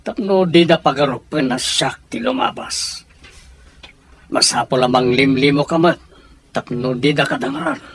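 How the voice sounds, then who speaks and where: A man's voice: mid-range voice, a little slow, fairly bright tone. A man acting an urgent, helpful fellow who insistently urges his companions along in an audio drama. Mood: secretive, planning. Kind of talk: Ilocano radio-style audio drama acting.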